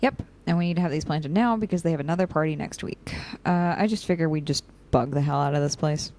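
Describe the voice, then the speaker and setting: A young woman playing a dry, cynical, practical operative in an audio drama, with a deadpan, sardonic way of talking. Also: low-pitched, fast, natural voice. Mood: dry, bantering. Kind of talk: audio drama dialogue and log entries.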